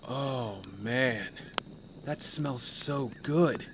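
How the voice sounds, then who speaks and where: Actor as young male trainee, mid-pitched, earnest, curious, conversational audio drama delivery, clear outdoor insect noise. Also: extremely slow. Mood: pleased. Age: young adult. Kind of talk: naturalistic audio drama acting.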